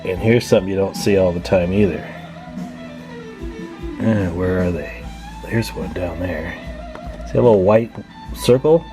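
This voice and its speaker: A man's voice: low-pitched, a little slow, pauses often, music in the background, low-quality recording. A man giving a casual, hands-on walkthrough as a hobbyist, thinking aloud in a folksy, musing way. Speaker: hobbyist presenter.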